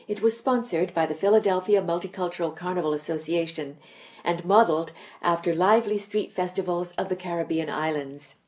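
A woman anchoring a weekly news review, reading a script in an even, measured way. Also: on the low side.

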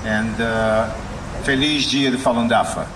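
A man's voice: medium pitch, steady tone, a little slow, echoey room, recorded from a distance, noisy background. A man from Brazil giving a brief, plain greeting. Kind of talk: short spoken greeting.

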